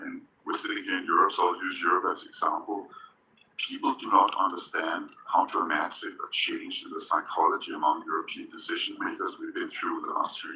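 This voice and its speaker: A man's voice: sits low, sharp tone, a little hiss or hum, rough recording. An older man with a Danish accent speaking as a panelist on a conference stage, conversational and self-deprecating, turning to address the audience. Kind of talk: panel discussion.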